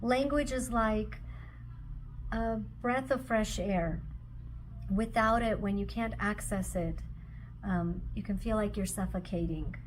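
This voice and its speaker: Measured, reflective woman author. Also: medium pitch, a little hiss or hum, poor audio. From former Soviet Union. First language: Russian. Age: adult with children. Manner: measured, phrase by phrase with pauses. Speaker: author and speaker. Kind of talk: reflective personal talk.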